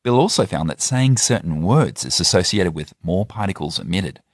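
A man's voice: sits low, expressive, normal speed, no echo, studio quality. A man narrating a news report in a scripted way, linking interview clips.

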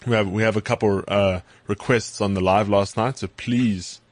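A man's voice: on the low side, normal speed, dry recording. A South African man co-hosting a podcast, chatting casually and telling stories with frequent restarts. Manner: storytelling, repeats words, relaxed. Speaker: podcast co-host. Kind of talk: casual chat.

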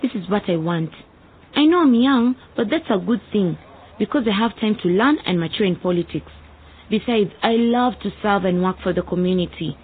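An actress playing an earnest, determined teenage daughter in a radio drama. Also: female voice, mid-range voice, animated, normal speed, husky, phone-quality sound. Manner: earnest, speech-like persuasion. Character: earnest, determined nineteen-year-old daughter with political ambitions.

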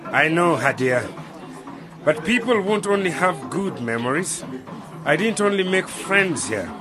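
A man's voice: fairly high pitch, sing-song, a little slow. An actor playing a grateful, sentimental man saying farewell to his village friends in a radio drama. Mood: reflective.

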